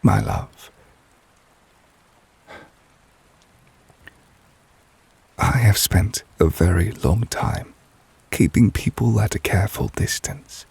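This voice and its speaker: A man playing a devoted, tender but possessive lover in a romantic ASMR roleplay, speaking softly and intimately in a measured, wistful way, close to the microphone. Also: on the low side, no echo, exaggerated voice. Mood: intense devotion. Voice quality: soft, breathy, husky. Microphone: close, dry microphone.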